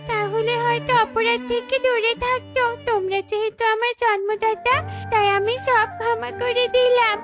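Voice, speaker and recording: A woman's voice: extremely high pitch, voice pushed up. A woman voicing a sweet, earnest young girl crow in a cartoon fable. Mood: solemn, forgiving. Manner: earnest, pleading. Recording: music bed behind.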